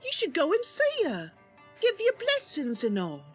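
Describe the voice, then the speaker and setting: A woman's voice: very high-pitched, huge pitch swings, unhurried, soft background music, voice pushed up, heavily acted. A woman voicing a chipper, friendly young elf adventurer in a fantasy game roleplay, eager and chatty.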